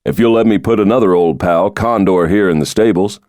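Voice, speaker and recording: A man plays a middle-aged country doctor in a thriller audiobook. He is wry and a little weary, delivering understated jokes in a low voice on a dry, close recording. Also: fairly quick, darkish tone, no echo, natural voice. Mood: dry teasing. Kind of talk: audiobook character dialogue.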